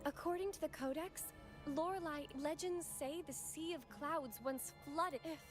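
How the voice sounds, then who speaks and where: A woman's voice: sits high, normal speed, lightly acted. An actress playing a young, spirited and bookish girl companion in a fantasy game. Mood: polite, earnest. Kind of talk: game voice acting. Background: game music.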